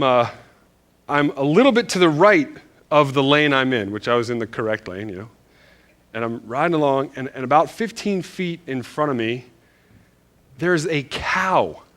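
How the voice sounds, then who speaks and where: A man from Northern Virginia preaching in a church, telling a story in a loose, animated, self-deprecating way with lots of restarts. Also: medium pitch. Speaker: pastor. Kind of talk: casual storytelling sermon.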